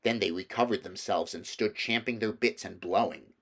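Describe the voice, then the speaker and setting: A man's voice: fairly low pitch, moderate pace, a bit bright. A man reading a children's novel aloud as scripted storybook narration. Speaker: audiobook reader.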